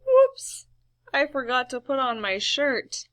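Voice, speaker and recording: A woman's voice: high voice, huge pitch swings, slow pace, fairly bright tone, exaggerated voice. A woman voicing an eager, forgetful young male frog with a childlike, exclaiming manner in a storybook. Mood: sheepish.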